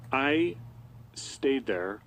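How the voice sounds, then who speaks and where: A man co-hosting a radio show in a studio, telling a story in a jokey, animated way. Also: mid-range voice, very slow, a little hiss or hum. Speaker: radio co-host. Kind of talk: casual radio chat.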